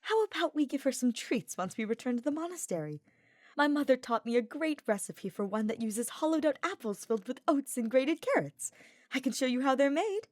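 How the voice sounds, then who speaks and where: A woman's voice: high voice, big pitch swings, fairly quick, a little mellow, dry recording, studio quality, pitched above the performer's own voice. A woman voicing an earnest, cheerful young nobleman knight in an audiobook, with florid and formal phrasing. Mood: encouraging. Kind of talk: fan fiction audiobook character voice.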